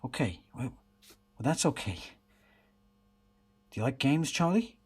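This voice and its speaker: A world-weary detective and father, played by a man in a radio drama. He has a husky, medium-pitched voice and questions people patiently. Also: sing-song, a little slow, darkish tone, full character voice. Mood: gentle, coaxing. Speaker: actor in a radio play.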